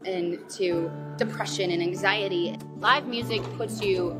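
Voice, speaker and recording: American woman over loud guitar music. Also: medium pitch, unhurried. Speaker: country music singer. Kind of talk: promotional video interview.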